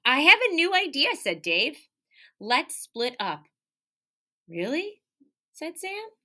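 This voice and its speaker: Animated woman teacher reading to children. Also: high voice, slow pace. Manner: engaging young listeners with questions and prompts; expressive, stressing key words. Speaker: elementary school teacher. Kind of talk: animated children's picture-book read-aloud with asides. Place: small indoor room by a window.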